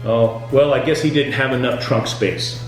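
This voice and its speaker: A man delivering scripted comedic tour-guide patter as a boat skipper, with deadpan, punning jokes. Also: mid-range voice, normal speed. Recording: very echoey room, music underneath.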